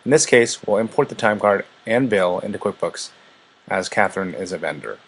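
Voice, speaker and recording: Male software demo presenter, low register, husky tone, scripted step-by-step walkthrough delivery. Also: animated, normal speed. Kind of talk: scripted product demo narration.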